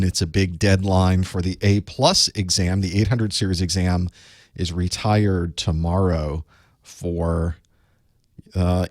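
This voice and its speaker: A man from the United States with a chest cold that makes his voice a bit raspy, chatting casually with viewers as the host of a live study group. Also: deep voice, dry recording, clean studio sound. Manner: chatty, rambling, self-deprecating asides. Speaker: online course instructor hosting live study group. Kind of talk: casual live-stream chat.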